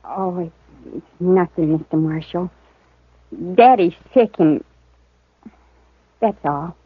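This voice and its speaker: A shy, earnest frontier girl of about sixteen, with a soft country drawl. The sound is old radio: thin and phone-like, dry and close. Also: lowish voice, slow pace, breathy edge, no room sound. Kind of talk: 1950s radio acting. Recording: narrow-band vintage radio broadcast.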